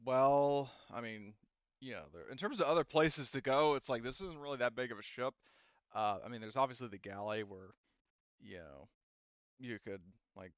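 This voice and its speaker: A man with a smooth, clear voice works as game master, narrating casually and haltingly. The sound is close and dry, slightly dull and lo-fi. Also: medium pitch, animated, dry recording. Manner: halting, conversational delivery.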